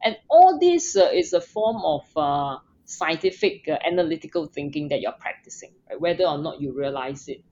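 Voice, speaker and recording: A woman's voice: sits low, expressive, moderate pace, few pauses, dark tone, dull audio. A woman from Singapore, a podcast guest talking earnestly with many fillers and restarts. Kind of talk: casual interview.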